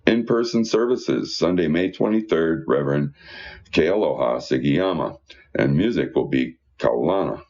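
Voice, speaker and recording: A man's voice: a bass voice, expressive, moderate pace, darkish tone, lo-fi sound. A man reading church newsletter announcements aloud in a folksy, conversational way with informal asides.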